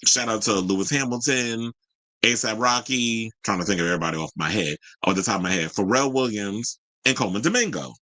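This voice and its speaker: A man with a fairly low yet bright, punchy voice hosts an entertainment news show, recorded close and dry. He moves between reading the news and casual, opinionated commentary in slangy, conversational phrasing. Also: expressive, fairly quick, no room sound. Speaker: talk show host.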